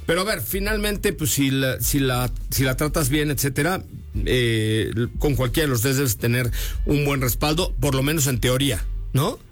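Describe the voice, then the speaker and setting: A man's voice: medium pitch, moderate pace, faint music behind. A man with a Mexican accent who hosts a casual car talk show. He jokes easily, uses slang and often restarts his sentences. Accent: Mexican Spanish. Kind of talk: casual car review chat.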